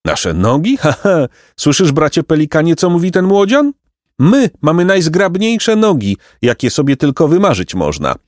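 Casting: vain storybook pelican, haughty and squawky, pitched high, wildly swooping delivery. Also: male voice, huge pitch swings, moderate pace, no echo, studio quality. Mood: indignant pride. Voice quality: shrill, squawking. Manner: pompous, haughty, florid exclamations. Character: vain, pompous aristocratic male pelican, shrieky and greedy. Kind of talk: storybook character voice.

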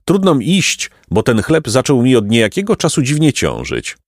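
Man as troubled young boy, puzzled, hesitant, lively intonation, storybook character. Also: medium pitch, normal speed, dry recording, studio quality, pitched above the performer's own voice. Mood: puzzled, weary. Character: troubled, thoughtful young boy, slow to blame.

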